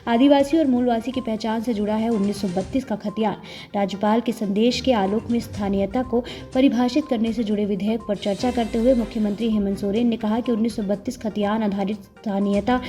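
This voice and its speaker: A woman's voice: mid-range voice, warm tone. A woman delivering scripted news narration as a news narrator.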